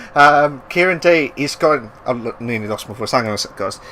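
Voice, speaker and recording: A man giving live racing commentary, excitable and bursting into loud exclamations at the action. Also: medium pitch, moderate pace, a little hiss or hum. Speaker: race commentator.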